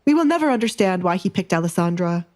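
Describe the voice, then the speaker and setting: A woman's voice: fairly low pitch, expressive, fast, a little mellow, natural voice. Woman as a flustered, exasperated middle-aged baron. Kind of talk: audio drama acting.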